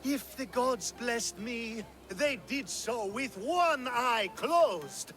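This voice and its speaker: Theatrical, high-voiced flirtatious charmer. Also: male voice, animated, fairly bright tone, full character voice. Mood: lovestruck, poetic. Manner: theatrical, drawn-out, teasing delivery. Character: flamboyant, flirtatious Greek charmer, lovestruck groom-to-be. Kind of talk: video game cutscene acting.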